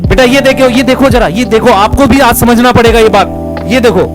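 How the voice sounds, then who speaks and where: इस्तीफ़ा दे चुका एक शिक्षक अपने पूर्व बॉस से सीधे और गुस्से में शिकायत करता है, एक ही बात बार-बार दोहराता है।. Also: male voice, very high voice, normal speed, over music. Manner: confrontational, repeats himself insistently. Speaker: teacher who resigned from an ed-tech company. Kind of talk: heated complaint addressed to a former boss.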